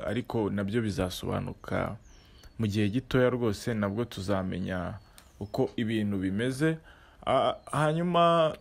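A man in his thirties speaks to the camera while standing outdoors, his voice sitting a little low. He talks in a measured, deliberate way, often pausing and restarting his sentences as he thinks. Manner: measured, with frequent hesitations and restarts. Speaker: online channel presenter. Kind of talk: commentary to camera.

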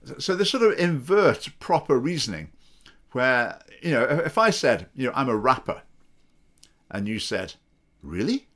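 A man's voice: medium pitch, sing-song, moderate pace, a bit bright. A middle-aged man in his fifties giving opinionated, sarcastic political commentary to a webcam from his home study. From England. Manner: opinionated, sarcastic, mocking quoted voices. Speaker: political party spokesman. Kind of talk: commentary to camera. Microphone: webcam video call framing.

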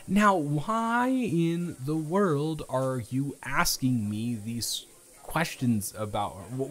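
Young adult male, playful and chatty, stumbles over words, intimate close-mic roleplay delivery. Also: medium pitch. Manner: playful, teasing, rambling with restarts. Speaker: audio roleplay performer. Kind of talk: improvised first-person romance roleplay.

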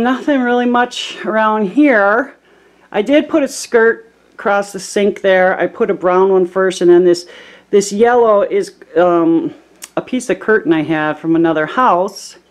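A woman casually narrating a tour of her small cabin, filming with a handheld camera, chatty and relaxed with lots of ums. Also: on the low side. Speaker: homesteading vlogger giving a home tour.

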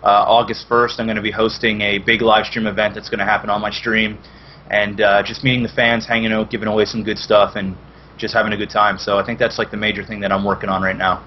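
A man's voice: on the low side, little pitch movement, fast talker. Young Canadian man, muffled noisy call. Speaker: guest, esports streamer. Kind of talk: casual chat. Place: indoor room on a video call. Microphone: headset. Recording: dull, noisy video call audio.

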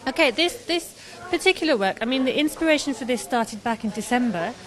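A woman's voice: high voice, lively intonation, a little fast, fairly bright tone, poor audio. A young woman from Scotland, an artist being interviewed live in a pub, talking enthusiastically with many fillers into a handheld microphone. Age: young adult. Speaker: artist guest. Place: busy pub. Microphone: handheld mic held by host.

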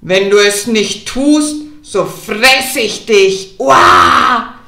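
A woman voicing a greedy storybook wolf, giving menacing, drawn-out commands. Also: mid-range voice, bright, forceful tone, echoey room, heavily acted. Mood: threatening. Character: greedy, threatening big bad wolf.

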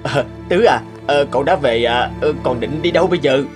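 A man voicing a sad, self-sacrificing soldier back from war in a cartoon, halting and earnest. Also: mid-range voice, close to an everyday voice. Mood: resigned. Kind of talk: Vietnamese cartoon voice acting. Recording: music behind the voice.

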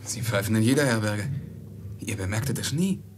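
An actor in a 1950s radio play, playing a merchant's loyal, anxious servant, deferential and urgent. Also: male voice, lowish voice, normal speed, noisy background, close to an everyday voice. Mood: concerned.

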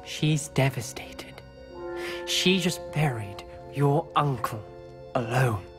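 A man's voice: medium pitch, animated, takes it slowly, over music. A man with a British accent playing a principled, troubled teenage wizard student in a video game, speaking formally. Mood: grave, sad. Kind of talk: dramatic video game acting.

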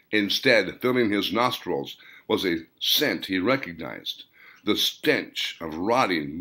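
A man reading a story as a scripted audiobook narration, in deliberate, dramatic phrases broken by pauses. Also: lowish voice, fairly bright tone. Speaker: author reading own novel, narrator.

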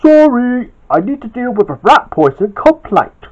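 An amateur storyteller voicing an earnest, excitable male restaurant worker with emphatic, overwrought delivery. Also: medium pitch, unhurried, pitched above the performer's own voice. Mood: worried, earnest. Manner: emphatic, overwrought, stretched-out exclamations. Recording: dull, lo-fi home recording.

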